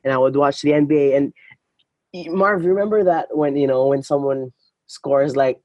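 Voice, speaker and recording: A man's voice: mid-range voice, steady tone, moderate pace, dark tone, dry recording, muffled recording. A young man in his twenties talks easily as a podcast guest. His speech is loose and chatty, full of "like" and "you know", and he laughs often. The recording is dry and close. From Philippines. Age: 20s. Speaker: guest, marketing head. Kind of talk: casual interview chat.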